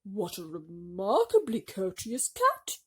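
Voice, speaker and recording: A woman voicing a grand, gracious king in a storybook, loud and stately. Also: medium pitch, wildly swooping pitch, slow, slightly rough, heavily acted. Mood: gracious, curious.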